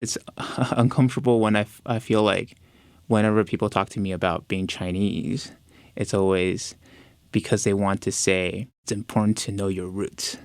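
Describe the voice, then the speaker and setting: A man's voice: lowish voice, fairly even intonation, moderate pace, no room sound, studio quality. A young man from the United States, a podcast guest, talking hesitantly with lots of fillers and restarts. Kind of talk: reflective personal interview.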